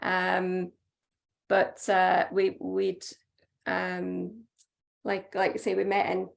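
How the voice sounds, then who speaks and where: A woman's voice: on the low side, completely flat delivery, very slow, creaky. A Scottish woman in her thirties, a guest in casual chat on a video call, friendly and chatty. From Scotland. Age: 30s.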